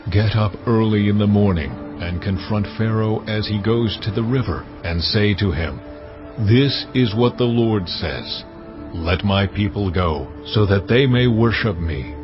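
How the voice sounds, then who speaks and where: A man gives voice to God in an audio drama, a very deep and unhurried commanding voice. The sound is slightly dull, with music swelling underneath. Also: close to an everyday voice. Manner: slow, measured, authoritative delivery. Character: God, a solemn commanding divine voice. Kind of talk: dramatized scripture reading.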